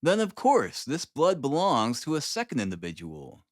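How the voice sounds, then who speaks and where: A man voicing a brisk, self-assured consulting detective with a confident, lecturing manner in an audiobook. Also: mid-range voice, wildly swooping pitch, dry recording, clean studio sound.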